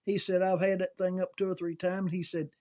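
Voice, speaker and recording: An older man telling casual, rambling recollections in a halting, folksy way, as if thinking aloud. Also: high voice, flat delivery, dark tone. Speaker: amateur storyteller reminiscing about CB radio.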